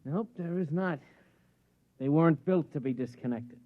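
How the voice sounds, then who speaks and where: A man's voice: on the high side, moderate pace, no echo, close to an everyday voice. An actor playing an impatient repairman coworker in a 1950s radio drama, blunt and terse. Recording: thin, phone-like vintage radio recording.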